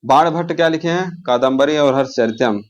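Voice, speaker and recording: A man's voice: a little high, a little fast, lo-fi sound. A man teaches an informal exam revision lesson, repeating key terms and answering his own questions.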